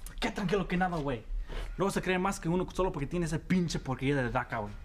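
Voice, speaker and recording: A man's voice: a little high, racing, a little hiss or hum, close to an everyday voice. A man with a Mexican accent playing a resentful, hot-tempered warehouse worker in short film dialogue. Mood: angry. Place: indoors in a warehouse.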